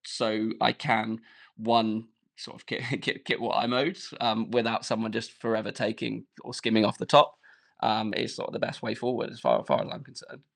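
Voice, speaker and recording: A man's voice: lowish voice, gravelly. A man, a dance teacher and podcast guest, talking casually with fillers and restarts. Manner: halting, many fillers and restarts.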